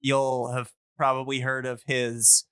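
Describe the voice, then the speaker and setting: Bright, crisp-voiced man chatting casually. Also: mid-range voice, little pitch movement, a little slow, no echo, clean studio sound. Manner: enthusiastic, rambling explainer who searches for words. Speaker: podcast host. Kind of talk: casual podcast chat.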